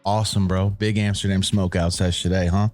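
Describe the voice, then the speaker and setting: A man's voice: sits low, little pitch movement, brisk, a little mellow, faint music behind. A man co-hosting a podcast, chatting with enthusiasm. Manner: enthusiastic, laughing along. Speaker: podcast co-host. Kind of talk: casual chat.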